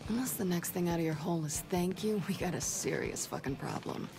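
Tough, cocky gang boss. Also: female voice, lowish voice, expressive, fairly quick, gravelly, background noise. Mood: sardonic, defiant. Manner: blunt, cocky. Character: tough, foul-mouthed, sardonic female gang boss. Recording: loud music behind.

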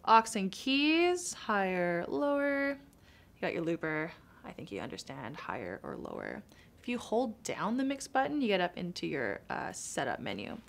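A woman's voice: lowish voice, huge pitch swings, normal speed, vocal fry. A young woman casually walking through a device's menu settings in a small studio, chatty and upbeat, gesturing as she explains. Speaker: product demo presenter. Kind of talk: casual product walkthrough. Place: small studio room with acoustic foam.

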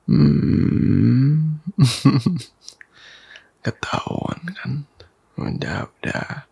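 A man whispering softly and intimately in a comforting boyfriend ASMR roleplay, coaxing and teasing with quiet laughs and stray English words. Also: medium pitch, animated, drawn-out words, gravelly, breathy edge. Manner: mixes English words into casual talk.

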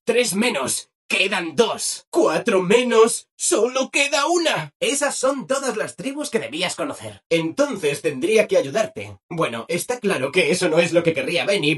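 A man's voice: high voice, breathy edge, heavily acted. A male actor with a Castilian Spanish accent playing a relentlessly cheerful, over-obliging robot assistant in a video game, chirpy and eager to please. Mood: cheerful, explanatory. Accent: Castilian Spanish from Spain. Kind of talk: animated video game character acting.

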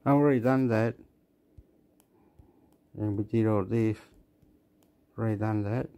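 A man's voice: on the low side, steady tone, unhurried, mellow. Rambling Australian man talking to himself. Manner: meandering, repeats himself, talks to himself, restarts words. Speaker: vlogger. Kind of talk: rambling screen-recording commentary.

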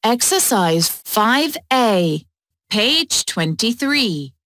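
Woman announcer reading exercise numbers, extremely slow, lively pitch, dry. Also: no echo. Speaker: track announcer.

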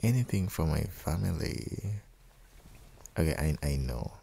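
A man playing an affectionate, playfully teasing young dad and husband in an intimate ASMR audio roleplay, speaking softly and often dropping into whispers. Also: sing-song, full character voice. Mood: tender. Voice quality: very deep, husky, gravelly, breathy. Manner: soft, unhurried, often slipping into whispers.

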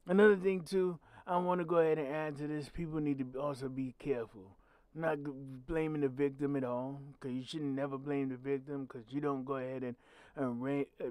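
A man with a growly, mid-pitched voice delivers solo commentary on his own channel. He talks bluntly and emphatically, swearing freely as he makes his point. The sound is dry and close, with no room echo. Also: a little mellow. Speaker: channel host.

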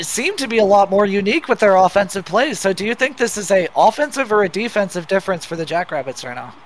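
A man commentating as a guest on an online game broadcast, slightly raspy from losing his voice, prone to excited outbursts. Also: high voice, slight background noise. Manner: excitable, bursts of exclamation. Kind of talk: sports commentary.

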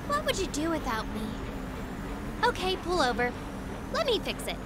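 A woman's voice: very high-pitched, wildly swooping pitch, moderate pace, heavily acted. An actress playing a confident, teasing young woman mechanic in a video game, with a breezy manner. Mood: exasperated, teasing. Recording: loud vehicle noise behind.

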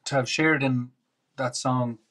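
A man's voice: medium pitch, steady tone, a bit bright. A man in his fifties with an Irish accent, a musician guest, speaking humbly on a video call. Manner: slow, humble. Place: indoor room at home. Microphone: video call webcam.

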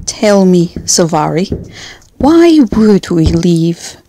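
Woman acting a slow, smug villain leader. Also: lowish voice, very animated, warm tone, a little hiss or hum, exaggerated voice. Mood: smug menace. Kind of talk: audio drama acting.

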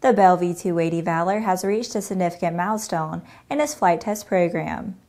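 A young woman anchoring a news show in a studio, reading a script in a steady, even newsreader delivery. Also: lowish voice, moderate pace. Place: studio in front of a green screen.

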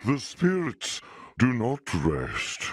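An actor playing a grim mystic who calls on storms and spirits in a fantasy game. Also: male voice, medium pitch, huge pitch swings, slow pace, heavy background noise. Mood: ominous. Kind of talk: game ultimate battle lines.

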